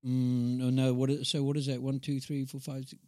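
A man in his fifties with a New Zealand accent, a guest in a casual interview who tells animated stories and laughs as he talks. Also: medium pitch, fairly quick, no echo, clean studio sound. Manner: animated storyteller who laughs through anecdotes. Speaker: guest, inspirational speaker.

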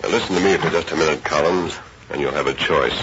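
An actor playing plain-spoken men of the West, a drawling ranch hand and a masked hero, in old radio drama style. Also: male voice, very deep voice, quick pace. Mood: calm, commanding. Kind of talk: old-time radio western acting. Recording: muffled, noisy old radio recording.

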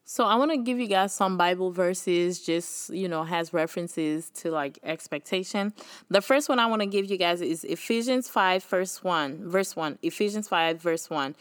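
Young Haitian-accented woman hosting a faith podcast; earnest, encouraging, filler-heavy, smooth voice. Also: fairly low pitch, normal speed, no echo, studio quality. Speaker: podcast host. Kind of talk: casual faith podcast talk.

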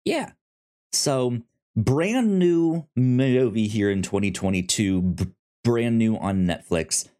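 A man hosts a casual review show with lively, varied intonation. His pitch sits in the middle for a man. He talks in halting, conversational phrases, repeating words as he goes. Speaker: podcast host.

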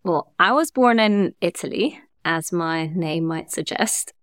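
A woman who grew up in Germany, a guest in a casual interview, relaxed and laughing easily as she talks. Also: on the low side, a little slow, no room sound, clean studio sound. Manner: relaxed, laughs often, uses fillers like "like". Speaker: agency cofounder, guest.

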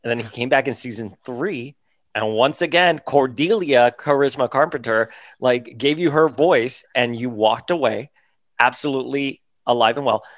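A podcast co-host asking gushing, excited questions of a guest. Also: medium pitch, normal speed, dry recording. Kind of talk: enthusiastic podcast hosting.

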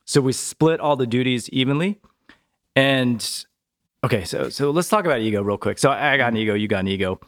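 A man talking as a podcast guest in a relaxed, chatty way, often tagging his points with a quick question. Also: medium pitch. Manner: relaxed, chatty, tags sentences with "right?". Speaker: podcast guest, business owner. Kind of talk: casual conversational interview.

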